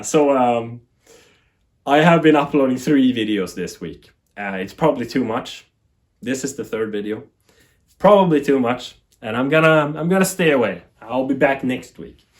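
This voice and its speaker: A man from Sweden with a Swedish accent, speaking English as a second language in a rambling, animated talk to camera as a TV tech YouTuber, laughing at himself. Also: medium pitch.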